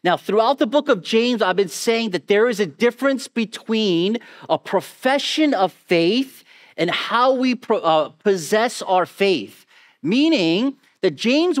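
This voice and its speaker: A man in his forties preaching a sermon as a pastor, measured and emphatic, repeating key phrases. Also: very high-pitched. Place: on stage in a church auditorium. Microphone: headset mic.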